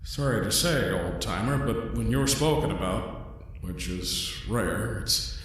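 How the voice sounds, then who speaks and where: A man's voice: fairly low pitch, lively intonation, takes it slowly, a bit bright, reverberant, a little hiss or hum, poor audio. A man playing a trapped adventurer in an audio drama, folksy, polite and wry, with an understated, hesitant manner. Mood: wry, apologetic.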